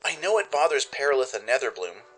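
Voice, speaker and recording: A man puts on a timid young filly in a radio play, pitched up from his own voice. The delivery is hesitant, earnest and pleading, over background music. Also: sing-song, quick pace, a bit bright, low-quality recording.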